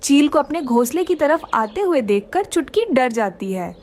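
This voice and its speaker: Woman narrator for children's tales: high-pitched, very expressive melody, scripted storytelling. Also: normal speed.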